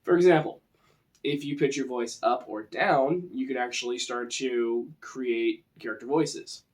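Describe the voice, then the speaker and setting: A man's voice: medium pitch, fairly even intonation, unhurried. A man from the western United States with a Western American accent, presenting an informal, conversational lesson on tabletop roleplaying. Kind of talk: informal instructional talk.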